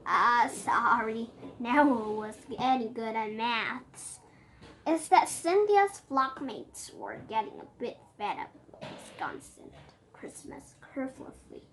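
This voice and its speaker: A high, youthful voice reading a picture book aloud expressively, with playful exclamations and chatty asides. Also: sing-song, slow pace, a bit bright, slight background noise. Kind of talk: animated read-aloud storytelling with chatty asides.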